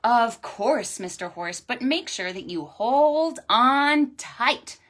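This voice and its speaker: Small, excitable farm animals from a children's storybook, voiced by a woman. The voice is high and swoops up and down in a sing-song, exclaiming way. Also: slow, a little hiss or hum. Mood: eager. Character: excitable little farm animals from a picture book.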